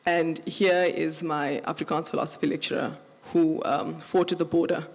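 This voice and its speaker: A woman with a flat Johannesburg accent, speaking as a panelist in a live festival discussion with animated storytelling. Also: low voice, normal speed. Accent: Johannesburg South African. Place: festival venue with long reverb. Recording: live hall recording with reverb.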